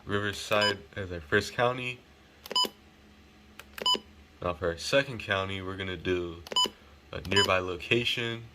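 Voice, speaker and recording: A man's voice: sits low, a little slow, pauses often, very noisy. A man talking informally as he hosts a hobbyist unboxing and setup video. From United States. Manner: informal, occasionally swearing. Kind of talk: casual unboxing and setup demo.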